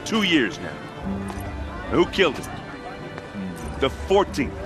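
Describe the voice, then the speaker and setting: An actor playing a blunt, rough-tongued foreign warrior envoy, plainly addressing a crowd in a video game. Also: male voice, fairly high pitch, sing-song, slow. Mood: earnest, impassioned. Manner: plain-spoken, forceful oratory to a crowd. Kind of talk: dramatic video game speech. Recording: music behind the voice.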